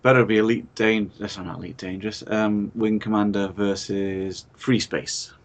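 Lowish voiced bloke chatting about games, goes on a bit. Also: male voice, fairly even intonation, normal speed. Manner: chatty, explains at length. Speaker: podcast co-host. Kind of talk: casual podcast chat.

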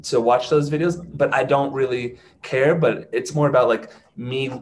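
A man of middle pitch talks freely in a relaxed conversation. He keeps going for long stretches and peppers his talk with "you know" and "like". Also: moderate pace. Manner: chatty, many "you know" fillers. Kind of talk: casual chat.

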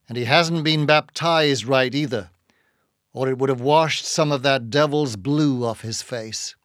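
A man voicing a gruff, bossy old sea captain who hails people heartily in an audiobook reading. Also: mid-range voice, unhurried, dry recording, studio quality, lightly acted. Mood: gruff, suspicious. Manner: loud hailing, salty nautical exclamations.

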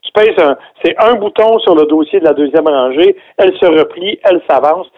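A man's voice: on the high side, brisk. A man with a Québécois accent, reviewing a car as a radio guest, explaining in a chatty way with joking asides, heard over a phone line. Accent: Québécois French. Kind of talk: casual radio chat.

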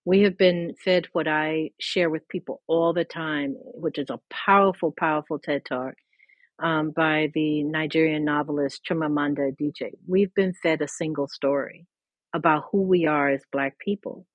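A woman's voice: sits low, fairly even intonation, normal speed, no room sound, lo-fi sound. A woman author and scholar talking in a thoughtful, lecturing way, with restarts and laughs, in a podcast conversation. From mid-Atlantic United States.

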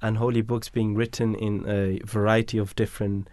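Low-voiced man explaining at length. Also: flat delivery, moderate pace, darkish tone, no echo. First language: Bangla. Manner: explanatory, many fillers and restarts. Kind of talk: casual discussion.

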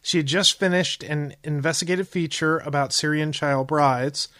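A man's voice: a little high, steady tone, moderate pace, bright, forceful tone, no echo. Male podcast host, a slightly raised voice, dry close mic, meandering, filler-heavy questions. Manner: rambling, hesitant questions with fillers. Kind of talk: podcast interview.

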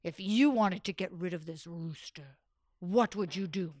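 A woman voicing a large, greedy male sultan who gives loud, bossy orders. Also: fairly low pitch, a little slow, voice pushed down. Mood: demanding.

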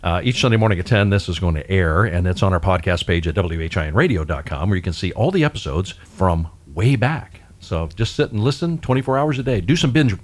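A man's voice: low voice, animated, fairly quick, few pauses. A man hosting a weekly public affairs radio show, chatting and interviewing guests in an upbeat way. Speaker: radio host.

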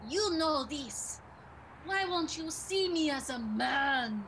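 A woman voicing an overwrought, lovesick male suitor who begs his old flame to run away with him. The voice is high and the delivery drawn out and slow, with faint hiss behind in a comedic radio play. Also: animated, fairly bright tone, full character voice. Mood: pleading. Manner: overwrought, pleading. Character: lovesick male former sweetheart returning.

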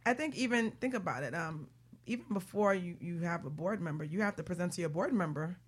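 Fast-talking woman hosting a chatty show. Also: lowish voice, slightly rough. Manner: chatty, informal, animated banter. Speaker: radio show host. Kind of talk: casual talk show hosting.

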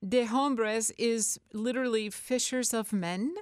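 A woman's voice: on the high side, slow, clean tone, no room sound. A woman co-hosting a radio show from the studio, talking about hymns and affirming callers. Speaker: radio co-host and musician. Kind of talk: radio chat.

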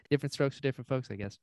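A soft-spoken man chatting casually as a podcast host. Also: mid-range voice, no echo, clean studio sound. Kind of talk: casual chat.

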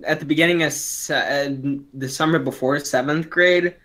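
A man's voice: a little high, steady tone, normal speed, a bit bright. A man of twenty co-hosting a casual podcast, talking in a rambling way with many restarts and fillers. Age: young adult. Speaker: podcast co-host. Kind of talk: casual chat.

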